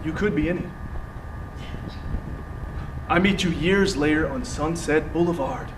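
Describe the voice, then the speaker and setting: A man's voice: fairly high pitch, unhurried, lightly acted. An actor playing a cocky, ambitious young advertising man in a stage play, smug and self-assured. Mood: boastful. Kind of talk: live stage play acting. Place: theatre stage, recorded from far off. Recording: distant roomy theatre recording, faint noise.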